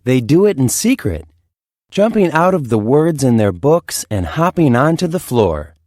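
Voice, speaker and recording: A woman reads a children's story as narrator. She goes slowly with very lively intonation, and the sound is dry and close. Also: rumbling low, no room sound. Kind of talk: expressive children's read-aloud narration.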